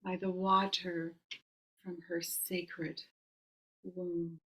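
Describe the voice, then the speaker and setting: A woman casting a ritual circle in a solemn, measured invocation, some words whispered. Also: on the low side, flat delivery, sharp tone, poor audio. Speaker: circle caster.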